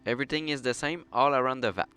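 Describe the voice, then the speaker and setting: A man's voice: mid-range voice, fast, sharp tone, smooth, faint music behind. A man with a French accent, slightly under the weather with flu, presenting a scripted build tutorial as a voice-over. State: sick with flu, slightly odd voice. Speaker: presenter of a 3D printer build series.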